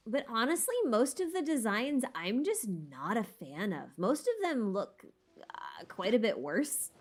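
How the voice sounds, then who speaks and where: A woman hosting a casual livestream Q&A, talking informally and hesitantly as she thinks aloud. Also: medium pitch. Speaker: livestream host answering viewer questions. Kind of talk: casual livestream Q&A chat about game lore.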